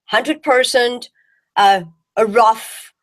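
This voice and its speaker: A woman's voice: fairly high pitch, very slow. A woman from Belgium, a jazz singer and guest, chatting with enthusiasm and often restarting her words. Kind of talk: casual chat.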